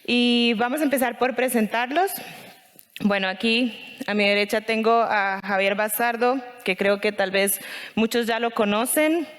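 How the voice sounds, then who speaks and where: A woman's voice: mid-range voice, fairly bright tone. A woman from Costa Rica with a Costa Rican accent, moderating and introducing a conference panel. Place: conference stage in a hall. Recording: long hall reverb.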